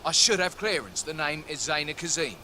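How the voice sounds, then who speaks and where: A man's voice: fairly high pitch, lively intonation, brisk, bright, forceful tone, a little hiss or hum. A man playing an indignant, entitled guest in a video game, haughty and insistent. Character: indignant, entitled male guest refused entry.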